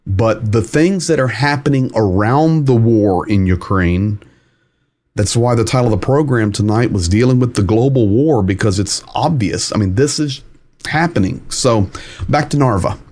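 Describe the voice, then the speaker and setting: A man's voice: medium pitch, normal speed, darkish tone. A man hosting a live news-commentary stream, talking casually and rambling while walking viewers through a map. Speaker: host.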